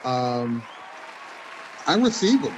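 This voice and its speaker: A man speaking as a guest at a live appearance, gracious and halting in his delivery. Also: medium pitch, sing-song, highs cut off. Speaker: news correspondent, guest. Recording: noisy live event with crowd ambience. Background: crowd and loud ambient noise.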